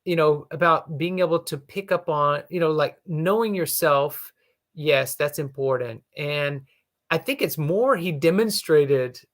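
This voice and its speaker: A man explaining leadership lessons in a casual, thoughtful way, often pausing with "you know". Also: a little high, a bit bright.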